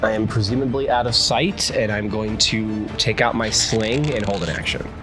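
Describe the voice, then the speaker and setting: A man's voice: fairly low pitch, steady tone, moderate pace. A man talking casually as a player in a tabletop game. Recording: loud cinematic music underneath.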